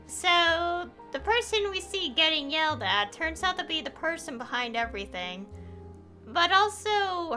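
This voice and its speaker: High-voiced woman streamer, bright and rambling. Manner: rambling, hesitant. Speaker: game streamer. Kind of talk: casual livestream commentary.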